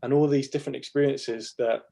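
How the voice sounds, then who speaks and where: Gravelly, mellow male podcast host. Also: medium pitch, fairly even intonation, brisk. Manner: encouraging, earnest. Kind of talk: podcast interview chat. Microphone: video call.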